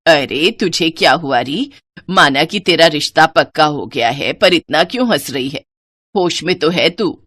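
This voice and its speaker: A woman's voice: low-pitched, expressive, unhurried, a bit bright, no echo, voice pushed down, full character voice. A woman voicing a caring, fussing middle-aged mother of grown daughters in a moral story, earnest in manner. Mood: scolding. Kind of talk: Hindi moral story character acting.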